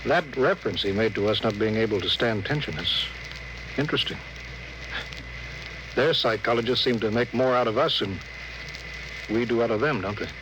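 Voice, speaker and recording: An actor playing a commanding, weary spaceship captain, deliberate and authoritative, in a 1950s radio drama. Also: male voice, medium pitch, lightly acted. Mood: grave, thoughtful. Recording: noisy vintage 1950s radio broadcast.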